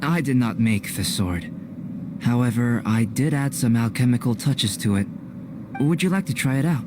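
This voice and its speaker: An actor playing a cerebral, politely enigmatic young alchemist in a video game, speaking in a measured, formal way. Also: male voice, mid-range voice, expressive, lightly acted. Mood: composed. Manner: measured, formal, precise, unflappable. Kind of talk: video game cutscene acting. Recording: clear background noise.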